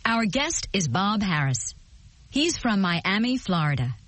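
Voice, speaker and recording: A woman's voice: mid-range voice, sing-song, unhurried, a bit bright, dry recording, faint music behind. A woman playing a polite, formal American radio interviewer in a scripted English lesson dialogue.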